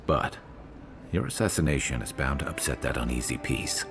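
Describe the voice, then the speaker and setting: Game companion, male: extremely low bass voice, dry wit, loyal, plain-spoken, music behind. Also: lively intonation, normal speed, fairly bright tone, full character voice. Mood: wry, relieved. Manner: wry, matter-of-fact. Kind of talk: video game character dialogue.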